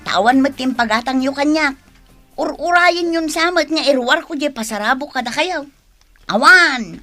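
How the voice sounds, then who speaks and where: A woman playing a lively younger woman in a radio drama, expressive, with an Ilocano accent. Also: sits high, moderate pace, faint music behind. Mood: excited. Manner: expressive, exclaiming.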